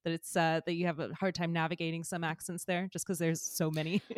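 Low-voiced woman podcast co-host. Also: little pitch movement, fairly quick, no echo, clean studio sound. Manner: reflective, asks questions, laughs often. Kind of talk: casual podcast chat.

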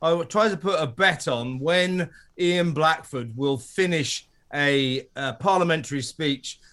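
A man's voice: a little high, unhurried, a bit bright. A man giving scornful, emphatic political commentary as a guest in a chat, fond of hyperbole.

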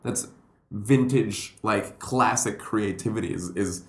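A man from Puerto Rico, a comedian telling stories in a rambling, animated way on a podcast. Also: lowish voice, slow pace. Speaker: comedian and guest. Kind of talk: casual podcast chat. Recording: roomy echo.

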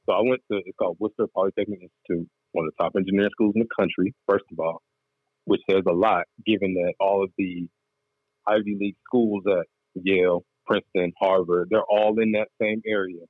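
A man's voice: low voice, fairly even intonation, brisk, no room sound, narrow, tinny audio. A man guest on a chat show, telling his story candidly in laid-back slang. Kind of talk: casual interview chat.

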